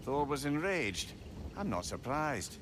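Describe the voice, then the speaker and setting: A wry old sage in a game drama, with a very lively, lilting mid-pitched voice. He sounds knowing and reflective, with noticeable ambience behind him. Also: slow pace. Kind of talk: video game drama acting. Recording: noticeable background ambience noise.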